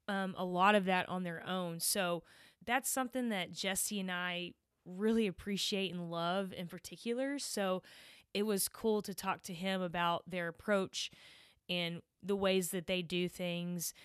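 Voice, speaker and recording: Clear-voiced Texan woman hosting a podcast. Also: medium pitch, fairly bright tone, no room sound, clean studio sound. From Texas, United States. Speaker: podcast host. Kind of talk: casual podcast talk.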